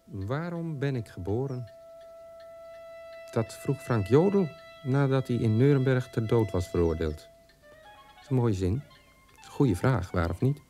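An actor in a radio play monologue, playing a bitter, self-loathing son of a war criminal who broods and questions himself. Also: male voice, medium pitch, lively intonation, a little slow, long pauses, warm tone, faint music behind, lightly acted. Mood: brooding.

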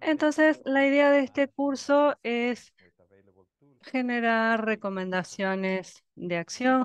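A woman interpreting a scientific webinar talk live, halting now and then with pauses and fillers. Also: mid-range voice, clear, resonant tone. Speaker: simultaneous interpreter.

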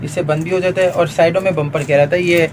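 Man, shopkeeper, repetitive filler tags, loud busy shop ambience. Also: on the high side, steady tone, fast talker, noisy background. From Pakistan. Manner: fills with "jo hai na" and "theek hai na". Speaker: wholesale shopkeeper. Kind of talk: sales pitch demonstration. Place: indoors, crowded accessories shop.

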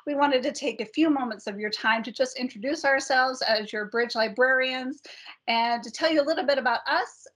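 An American woman with a fairly high voice introduces herself to students as a librarian. She speaks in the style of a friendly presentation. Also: fairly bright tone. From North Salt Lake City, Utah, US. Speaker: academic librarian.

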